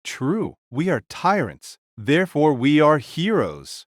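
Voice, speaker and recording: A man's voice: a little high, sing-song, slow pace, dry recording, studio quality. A man playing the spirit of a blunt conqueror king in an audio drama, speaking with firm, weighty deliberation. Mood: commanding.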